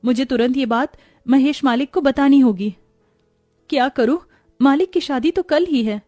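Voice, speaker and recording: Woman acting anxious high-pitched young maidservant. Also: normal speed, mellow, no room sound, clean studio sound, soft background music. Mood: worried. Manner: earnest, pleading, deferential. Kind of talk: Hindi moral-story cartoon acting.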